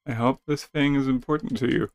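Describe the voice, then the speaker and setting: A man's voice: mid-range voice, steady tone, brisk, darkish tone, dry recording, lightly acted. A man voicing a hesitant, good-natured young male adventurer in a game, easily bewildered. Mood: straining.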